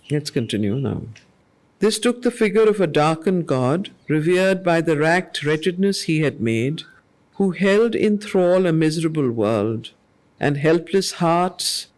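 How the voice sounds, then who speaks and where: Earnest woman leading a verse study. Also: on the low side. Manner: slow, measured recitation that repeats lines of verse, then conversational explaining; earnest, asks the group questions and answers them herself. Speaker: study circle facilitator. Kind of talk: reading verse aloud and explaining it to a group. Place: weekly study group gathering.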